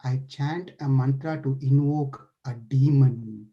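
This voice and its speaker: A man's voice: mid-range voice, slow pace, muffled recording, voice pushed down. A man voicing a frightened, hot-tempered old sage who pleads for help, in a devotional story. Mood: fearful, pleading. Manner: pleading, fearful.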